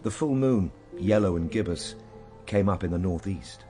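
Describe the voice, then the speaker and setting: An actor playing a reflective gentleman traveller, narrating his journey in a measured, literary, solemn manner for a radio drama. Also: low-pitched, expressive, faint music behind. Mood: solemn wonder.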